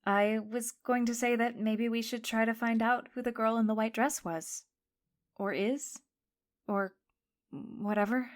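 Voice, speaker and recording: A woman's voice: fairly high pitch, steady tone, a little slow, natural voice. A woman playing a hesitant, bookish schoolgirl in an audio drama, tentative and helpful. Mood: hesitant, self-conscious.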